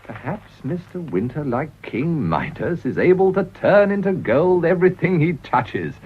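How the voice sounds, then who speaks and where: A sardonic, unflappable British financier in a period radio drama. His pitch moves freely as he brushes everything aside with breezy confidence. The recording is slightly dull and boxy. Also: big pitch swings, unhurried, husky, heavily acted. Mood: sardonic, unruffled. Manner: breezy, sardonic, self-assured. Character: brazen, unflappable financier and politician, smooth-talking rogue.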